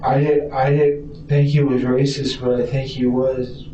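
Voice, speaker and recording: A man's voice: medium pitch, little pitch movement, measured pace, mellow. A hesitant American man who stammers and repeats words while answering questions in a police interview. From Arizona, United States. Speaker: suspect being questioned by police. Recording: distant roomy mic, dull audio, faint noise.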